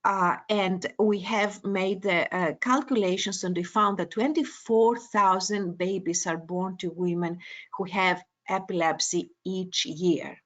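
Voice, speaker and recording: A woman neurologist from Greece delivers a webinar lecture, her English carrying a Greek accent. She speaks slowly, with steady mid-range pitch, and fills her pauses with frequent uh and um. The sound is that of a video call. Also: muffled recording. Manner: hesitant, many uh and um fillers.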